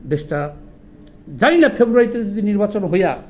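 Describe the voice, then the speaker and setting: A man's voice: sits high, big pitch swings, a little fast, lo-fi sound, slight background noise. A man with a Bangladeshi accent delivering an impassioned political monologue, indignant and emphatic, often putting rhetorical questions. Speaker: political commentator.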